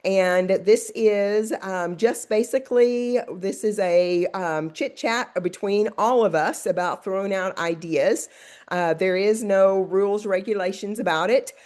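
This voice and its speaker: A woman hosting a casual online call, stuffed up with a cold, talking hesitantly with many pauses. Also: medium pitch, a little mellow. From Oklahoma. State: stuffed-up nose. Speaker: call host.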